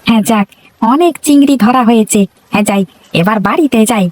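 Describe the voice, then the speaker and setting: A woman's voice: on the high side, fairly quick, a little mellow, natural voice. A woman voicing a chatty, food-loving young village husband in a cartoon, full of exclamations. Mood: gleeful. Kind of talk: cartoon voice acting.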